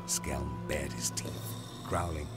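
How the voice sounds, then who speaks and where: A man narrating a scripted audio drama in a grave, deliberate, dramatic way. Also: a bass voice, little pitch movement, loud music behind the voice.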